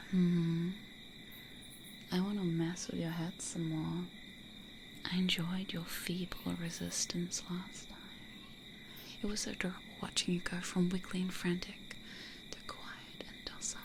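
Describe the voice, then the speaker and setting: A woman voicing a possessive, teasing female vampire in an ASMR roleplay, coaxing and domineering in breathy whispers close to the microphone. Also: on the low side, a little hiss or hum. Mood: playful, coaxing. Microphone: close studio condenser microphone.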